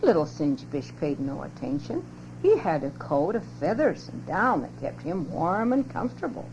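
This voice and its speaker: A grandmotherly low-voiced woman reading a picture book aloud at home, heard on an old humming cassette. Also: normal speed. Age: older. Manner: expressive read-aloud. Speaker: storyteller. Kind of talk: scripted children's story narration. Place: home tape recording. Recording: lo-fi muffled home cassette, loud hum.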